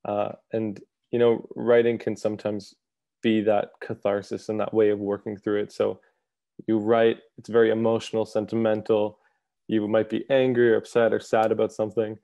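A young man from Canada hosting a literary journal's interview over a video call, asking questions in a friendly, relaxed way full of fillers. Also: fairly low pitch, moderate pace, vocal fry. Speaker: host, co-editor of a literary journal. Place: home room. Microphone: earphone mic on video call.

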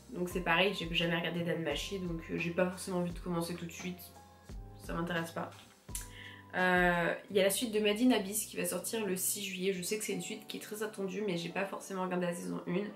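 A young woman with a cold and a stuffy nose, chatting casually to camera about what she plans to watch, with lots of fillers and restarts. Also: on the low side. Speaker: anime vlogger. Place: room with bookshelves. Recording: roomy echo, faint music behind.

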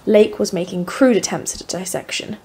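An earnest female expedition leader, played by a woman in an audio play. She recounts events in formal, literary first person at a medium pitch. A faint noise lies under the narration. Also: a little fast, a little mellow, close to an everyday voice. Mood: eager.

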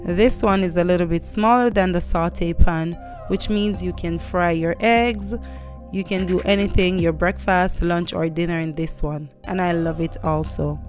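A young woman vlogging from her home kitchen on a lapel mic, chatty and upbeat as she unboxes cookware and talks straight to her viewers. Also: on the low side. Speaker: lifestyle vlogger. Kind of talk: casual product unboxing. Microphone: clip-on lapel mic.

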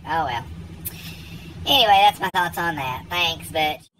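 A man rambles into a phone held at arm's length, playing a self-important tour guide. His pitch swings widely from line to line. Wind and passing cars hiss behind him on the outdoor phone recording. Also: a little slow, poor audio. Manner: rambling, animated, talks to people off camera. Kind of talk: rambling comedic monologue to camera. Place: outdoors, by a lake, then indoors in a truck cab.

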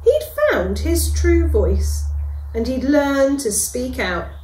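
A middle-aged woman, a reception class teacher, reading a picture book aloud to children with gentle, expressive delivery and dramatic pauses. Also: sits high. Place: school classroom, indoors. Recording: echoey classroom.

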